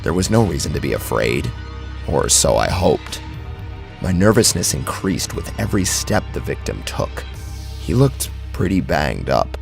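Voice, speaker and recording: A man's voice: very deep voice, very animated, unhurried, gravelly. A man narrating a scripted first-person horror story dramatically, close on the microphone. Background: background music.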